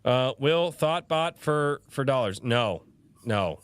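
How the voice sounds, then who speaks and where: A man hosting a casual livestream podcast, animated and rambling, quick to laugh. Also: mid-range voice, clean tone. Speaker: podcast host.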